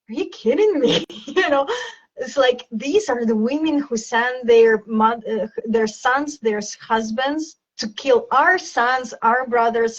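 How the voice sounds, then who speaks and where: A woman with a Ukrainian accent speaks in a high voice at an unhurried pace. She chats as an interview guest, often pausing to search for words. The sound is dull and lo-fi, like a livestream call. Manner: hesitant, searching for words, anecdotal. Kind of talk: casual conversational interview. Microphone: video call.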